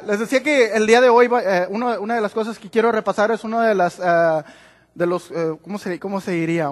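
A man with a Mexican accent, Spanish his first language, preaching a sermon in English and Spanish, halting, restarting and laughing at himself. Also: high voice, long reverb tail. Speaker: pastor. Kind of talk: bilingual sermon with own interpreting. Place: large church sanctuary.

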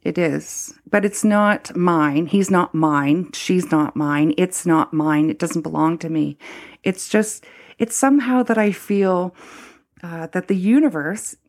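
Low gravelly-voiced woman, thoughtful and halting. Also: darkish tone. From Canada. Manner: thoughtful, halting, many fillers and restarts. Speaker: former Anglican priest, guest. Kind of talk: reflective interview conversation.

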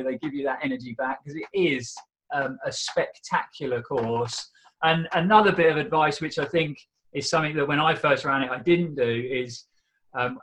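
A man with a slightly croaky voice, a podcast guest chatting casually about trail running, laughing often and filling pauses. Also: on the high side, fairly bright tone, rough recording. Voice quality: laughs often, easygoing, says "um" and "you know" often.

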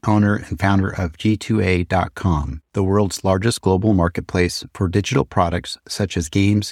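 Man, podcast host, upbeat, friendly, low voice, dry sound. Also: no echo. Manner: upbeat, friendly reading of intros. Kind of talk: interview hosting.

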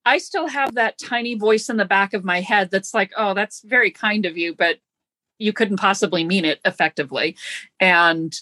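A woman host speaking thoughtfully, with halting starts and gentle self-deprecation, in a conversational interview. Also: medium pitch, no room sound.

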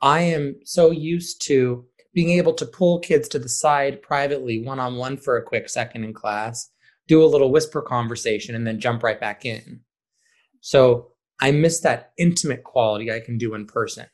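A man who teaches and directs theater, answering interview questions in an expressive, emphatic, conversational way. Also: medium pitch, normal speed.

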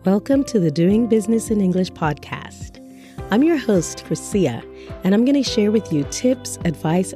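A woman's voice: on the low side, mellow. A woman hosting a podcast, coaching and interviewing in a conversational style. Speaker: podcast host, business English coach.

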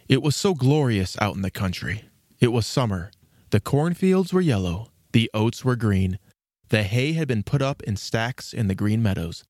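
A man's voice: fairly low pitch, darkish tone, no echo, studio quality. A man hosting and narrating a scripted children's storybook programme in a theatrical storytelling manner. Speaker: host and narrator.

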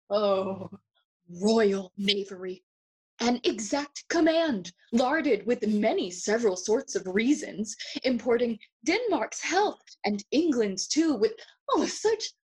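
A woman playing a passionate, grieving young prince in a bilingual Spanish and English Shakespeare audio drama, husky and theatrical. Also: on the high side, a little mellow, heavily acted, low-quality recording. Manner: theatrical, declamatory, slips between Spanish and English.

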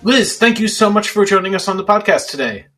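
A man's voice: high voice, expressive, brisk, sharp tone, soft background music. A man co-hosting a podcast, moving between a scripted introduction and casual interview questions. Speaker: podcast co-host.

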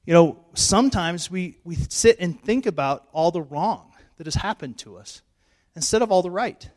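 A middle-aged male pastor, hoarse and losing his voice, giving a chatty, self-joking sermon in church on a handheld mic. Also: high-pitched, animated, normal speed, darkish tone. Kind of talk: informal sermon. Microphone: handheld microphone.

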